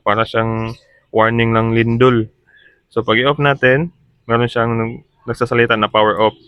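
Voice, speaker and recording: A man's voice: mid-range voice, little pitch movement, measured pace, lo-fi sound. A man from the talks through a hands-on repair. He is informal and practical, explaining each step as he goes. English technical terms are mixed into his speech. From Philippines. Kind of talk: casual repair demo vlog.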